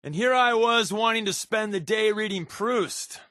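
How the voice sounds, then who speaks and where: An American man playing a wisecracking, sly undercover agent in a video game, talking in casual quips with a deadpan, sardonic manner. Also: sits high, moderate pace, dry recording, studio quality. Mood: taunting in combat. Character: wisecracking, sly adult male undercover agent, habitual fibber. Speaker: companion character in a video game.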